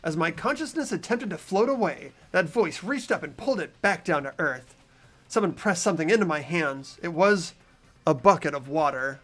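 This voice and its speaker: A man reading a visual novel aloud in a theatrical, expressive way, with casual asides as a player. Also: a little high, moderate pace. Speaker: player voicing and narrating in a fan playthrough.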